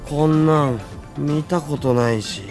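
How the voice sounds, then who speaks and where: A man's voice: fairly high pitch, steady tone, a little fast, a little mellow, soft background music, natural voice. A man voicing a geeky, easily exasperated young male hacker in a Japanese visual novel, with theatrical slangy speech. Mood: worn out. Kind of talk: anime-style game voice acting.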